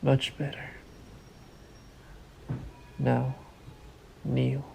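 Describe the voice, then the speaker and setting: A man's voice: monotone, mellow, slight background noise, heavily acted. A man voicing a sadistic, possessive vampire master in an ASMR roleplay, coaxing and commanding in a condescending murmur that often sinks into a whisper. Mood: commanding, menacing. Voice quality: low gravelly murmur, often sinking into whisper. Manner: very slow, deliberate, coaxing and commanding.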